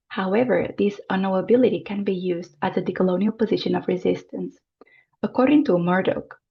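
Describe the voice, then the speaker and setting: A woman presenting an academic paper in a formal, measured reading style. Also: medium pitch, vocal fry, highs cut off. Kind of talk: reading a prepared conference paper.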